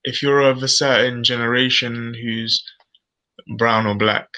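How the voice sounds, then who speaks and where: A man's voice: mid-range voice, fairly even intonation, unhurried, sharp tone, low-quality recording. A British man giving personal views in a casual discussion, tentative and often repeating his words as he works out what to say. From Britain. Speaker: guest on a live online discussion.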